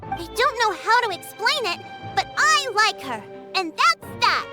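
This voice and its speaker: Squeaky, defiant little kid. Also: female voice, big pitch swings, unhurried, heavily acted. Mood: defiant, earnest. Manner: blunt, emphatic. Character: small defiant child, stubbornly loyal. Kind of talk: dramatic video game acting. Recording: loud music over the voice.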